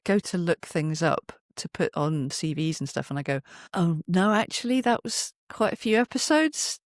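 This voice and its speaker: A mid-pitched androgynous voice telling anecdotes casually as an interview guest, acting out remembered remarks. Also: normal speed, no room sound, studio quality. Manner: animated storytelling, acts out remembered remarks. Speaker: guest, voice actor and narrator. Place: home recording booth.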